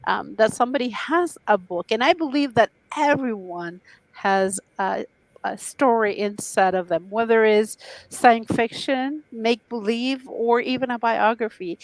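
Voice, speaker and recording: A woman's voice: mid-range voice, dry recording, rough recording. A woman hosting an interview show, chatty and effusive, often restarting her sentences. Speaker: talk show host.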